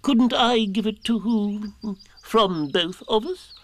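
Man doing a pompous old owl, high and drawn-out. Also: a bit bright, a little hiss or hum. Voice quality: fussy, self-important. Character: pompous old male owl, show-off know-it-all. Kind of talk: storybook read-aloud.

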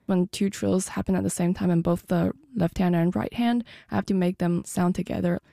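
Teen girl guest, soft and giggly, warm fry-tinged voice. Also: lowish voice, fairly even intonation, brisk, dry recording, clean studio sound. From United States. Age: teens. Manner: soft-spoken, giggly, many "like" fillers. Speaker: guest musician. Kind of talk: casual interview.

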